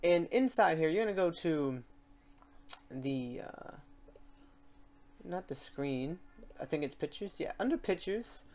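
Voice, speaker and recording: Casual software tutorial host, thinking aloud. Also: medium pitch, animated, unhurried, long pauses, a little hiss or hum. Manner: informal, thinking aloud while demonstrating.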